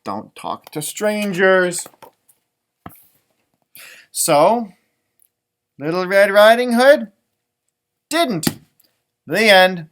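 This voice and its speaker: A man reads a picture book to young children with a very lively, swinging pitch. He takes his time, playfully wondering aloud and adding chatty asides. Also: very slow, long pauses, slight background noise. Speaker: storytime read-aloud host, music teacher.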